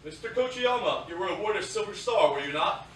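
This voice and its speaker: A man playing an earnest young man in a staged reading, measured and articulate. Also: high voice, expressive. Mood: formal. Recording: roomy, distant theatre recording, faint noise.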